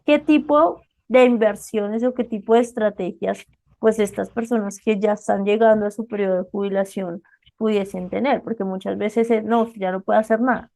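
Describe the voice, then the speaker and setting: Mellow-voiced woman podcast host. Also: medium pitch, fairly even intonation, moderate pace, gravelly. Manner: conversational, asks questions to the guest. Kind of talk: casual podcast interview.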